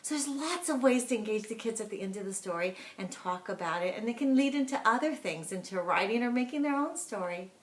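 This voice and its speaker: A woman with a fairly high voice talks like a teacher explaining tips to parents. Her delivery is animated and emphatic, and the room around her adds a clear echo. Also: brisk, few pauses. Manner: animated, emphatic, acts out example questions. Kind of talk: instructional talk to camera. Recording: echoey room sound.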